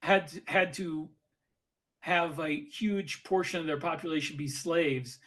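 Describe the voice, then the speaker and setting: A man with a notably high-pitched voice speaks as a podcast co-host. He argues earnestly and methodically, in the loose style of a casual panel chat. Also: takes it slowly, a bit bright.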